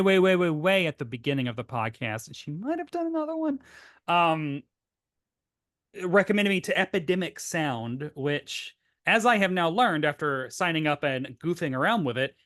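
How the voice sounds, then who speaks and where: A man's voice: fairly high pitch, fairly bright tone, dry recording, clean studio sound. A man co-hosting a podcast in casual, rambling chat, with wry, tangential asides that often interrupt his own sentences. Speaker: podcast co-host.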